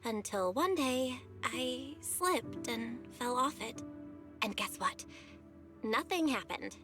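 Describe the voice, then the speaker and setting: Cheerful young anime game heroine, high voice, gentle, reassuring, clear music behind. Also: female voice, lively intonation, takes it slowly. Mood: gentle storytelling. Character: warm, upbeat young woman, kindly teasing companion.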